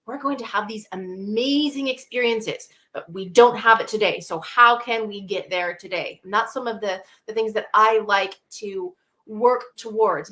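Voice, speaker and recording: A woman's voice: on the high side, fairly bright tone. Enthusiastic woman explaining as a guest expert. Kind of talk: conversational interview answers with anecdotes.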